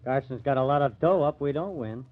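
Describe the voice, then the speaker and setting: A man's voice: medium pitch, brisk, close to an everyday voice. A man playing a streetwise, skeptical ballplayer in an old-time radio crime drama, slangy and teasing. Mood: wary. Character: streetwise, skeptical male ballplayer, needling his teammate. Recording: dull, band-limited old radio recording.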